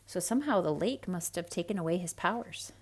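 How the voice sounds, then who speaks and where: A woman reading a storybook aloud to children in a theatrical, expressive way, with hushed asides to young listeners. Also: lowish voice, brisk, slight background noise.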